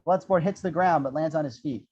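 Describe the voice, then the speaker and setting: Higher-pitched man narrating, dull lo-fi sound. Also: brisk, a little mellow, slightly rough. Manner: irreverent, wry asides. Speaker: narrator reading screenplay action descriptions. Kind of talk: animated table-read narration of an action script. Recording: dull, lo-fi audio.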